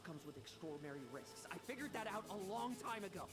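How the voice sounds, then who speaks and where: An actor playing a cold, ruthless schemer who gives clipped, commanding orders. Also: male voice, high voice, expressive, quick pace, music in the background. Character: cold, ruthless male schemer chasing power. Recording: game audio with background noise.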